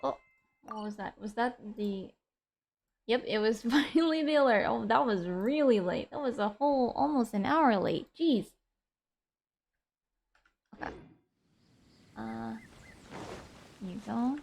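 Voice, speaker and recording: A woman's voice: fairly high pitch, animated, measured pace, gravelly, long pauses, a little mellow. A woman doing casual live gameplay commentary, muttering to herself with mild exclamations, with music behind her. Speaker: game streamer. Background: video game music and sounds.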